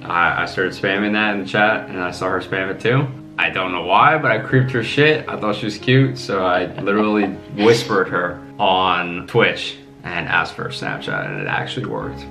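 A man's voice: low-pitched, expressive, moderate pace, creaky, hardly any pauses, pushed and bright. A man in his twenties from New York City with an American accent, chatting casually and bluntly, with some swearing. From New York City, USA. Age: 20s. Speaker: guest, host's boyfriend. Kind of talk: casual chat. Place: small home kitchen, indoors. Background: music with plucked guitar.

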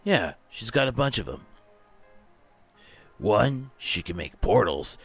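A man's voice: fairly low pitch, big pitch swings, slow pace, gravelly, fairly bright tone, breathy edge, dry recording, faint music behind, full character voice. A man voicing a sardonic, anxious young slacker in a comic dub, with dry griping and emphatic outbursts. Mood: worried, griping. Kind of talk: animated comic-dub voice acting.

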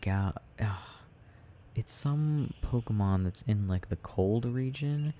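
A man murmuring haltingly to himself in an ASMR style as he rambles while playing a video game. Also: mid-range voice, warm tone, slight background noise. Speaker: audio performer playing a boyfriend gaming.

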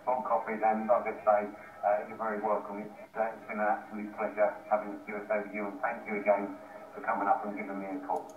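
A man's voice: on the low side, monotone, fast. A man chatting as an amateur radio operator in a friendly, encouraging, effusive way. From United Kingdom. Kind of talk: casual radio contact chat. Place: heard over radio software through computer speakers. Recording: dull, noisy, echoey internet radio link.

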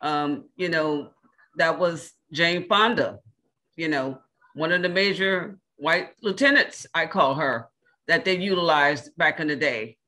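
A woman panelist on a video call, telling things in a halting, conversational, anecdotal way. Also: sits low, lively intonation, bright, forceful tone.

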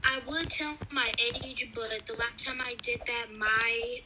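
A woman's voice: a little high, fairly even intonation, a little slow. A young girl from California, co-hosting a gaming channel in casual gameplay chat, rambling and often dropping to a whisper. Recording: loud background noise over game audio.

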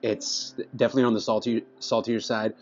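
A man in his thirties talks eagerly to the camera as he tastes dishes at a restaurant table, often restarting his phrases. His voice sits low. The recording is a little muffled, with faint music playing behind him. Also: little pitch movement, brisk, a little mellow. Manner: enthusiastic, restarts phrases while tasting. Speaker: food vlogger. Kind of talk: casual food review to camera. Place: indoor restaurant dining room. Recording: slightly dull sound, faint music behind.

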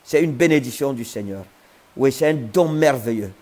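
A man in his thirties prays slowly and fervently, then preaches with insistent repetition. He speaks into a wired earphone mic in a home living room, sounding close and personal. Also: medium pitch, lively intonation, a little mellow. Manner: fervent, slow incantatory praying in tongues. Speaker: prophet. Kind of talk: livestream prayer and sermon. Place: living room with a sofa, indoors.

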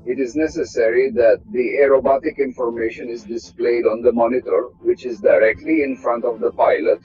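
A middle-aged man, a test pilot from Russia, giving a measured, technical tour of an aircraft cockpit. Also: mid-range voice, fairly even intonation, darkish tone, breathy edge. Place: aircraft cockpit inside a hangar. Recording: slightly dull sound, faint music behind.

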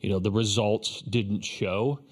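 A man in his fifties, a head baseball coach, answering questions at a postgame press conference in a measured, reflective way with frequent restarts, speaking into a table microphone. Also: lowish voice, steady tone, slightly rough. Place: press conference room, indoors.

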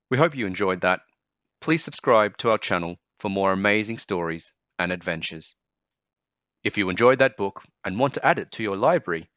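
A man reading a children's book aloud as narrator, in a measured and expressive storytelling manner. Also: fairly low pitch, no echo, highs cut off. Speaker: storybook narrator, channel host. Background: music.

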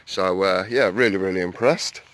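Man, low voice, casual gardening vlogger filming outdoors on a handheld phone, talks in loose rambling asides. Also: normal speed, a bit bright. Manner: chatty, rambling, many "um"s and asides to viewers. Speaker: allotment vlogger. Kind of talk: casual walk-around vlog. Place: outdoors on an allotment and inside a greenhouse. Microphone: handheld phone camera, filming while walking.

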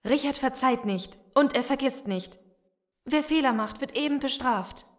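Audio drama: desperate young female turncoat actress, high voice, earnest and urgent. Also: normal speed, close to an everyday voice. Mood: guarded. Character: Sophie, desperate young woman betrayer seeking escape. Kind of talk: German audio drama acting.

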